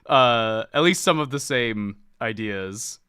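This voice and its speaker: Lively, smooth-voiced male podcast host. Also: medium pitch, slow pace, dry recording, clean studio sound. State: slightly sniffly. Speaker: book club podcast host. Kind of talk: casual podcast chat.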